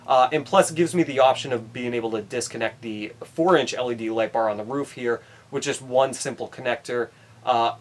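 Man, slightly nasal with a cold. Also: mid-range voice. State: has a head cold. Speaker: host of an RC truck build channel. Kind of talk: casual presenter talk to camera. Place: small indoor hobby workshop.

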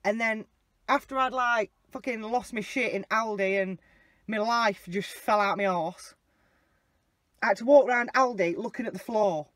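A young woman telling a story in a casual, animated, sweary way while sitting in a car and filming on a dashboard phone. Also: medium pitch, unhurried, no room sound. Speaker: vlogger. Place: inside a car. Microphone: phone camera mounted on the dashboard.

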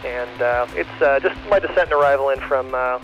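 A man talking steadily over ham radio with measured pauses, sounding dull through the radio link. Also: mid-range voice, faint music behind. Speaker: airline pilot on ham radio. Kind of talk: casual radio chat.

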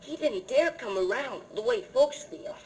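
A high-voiced performer, heard as a woman, playing an earnest young American frontier boy in 1950s Western radio style. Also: expressive, measured pace, darkish tone, lightly acted.

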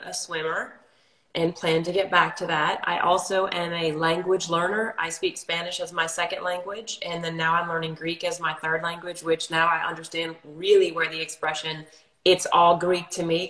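Articulate woman, low voice, echoey room. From New Orleans, Louisiana. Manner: articulate, steady storytelling. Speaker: guest, color consultant. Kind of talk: casual livestream interview. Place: home room, indoors. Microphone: video call. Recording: echoey room on a video call.

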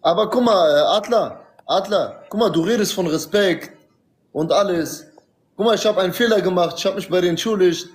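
A man's voice: high voice, a little fast. A man reasoning with another guest on a phone livestream, patient and persuasive, repeatedly asking him to look at it his way. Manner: persuasive, keeps saying guck mal. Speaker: TikTok live guest. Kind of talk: livestream group chat.

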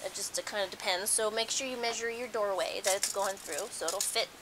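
A woman's voice: mid-range voice, fairly even intonation, brisk, background noise. A middle-aged woman giving a casual, conversational how-to demonstration at home, explaining things as she goes. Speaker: DIY host. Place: carpeted room at home.

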